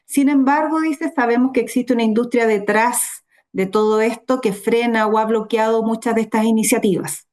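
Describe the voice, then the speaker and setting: Fairly high-voiced Chilean woman moderating. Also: steady tone, moderate pace, a little mellow. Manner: polite, formal, reads questions aloud. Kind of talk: formal event moderation.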